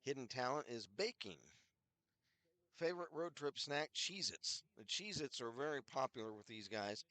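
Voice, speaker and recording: Older male hockey announcer, head cold, rambling asides, smooth dry voice. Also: mid-range voice, expressive, moderate pace, pushed and bright, no room sound. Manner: rambling, chatty, self-deprecating asides. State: head cold with scratchy throat. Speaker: play-by-play announcer. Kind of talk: casual hockey broadcast commentary.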